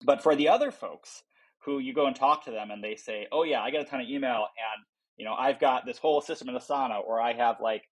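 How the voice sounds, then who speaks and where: A man's voice: mid-range voice, quick pace, rough recording. A man giving informal, explanatory interview answers about a product, often voicing imagined quotes as he goes. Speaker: software company founder, podcast guest.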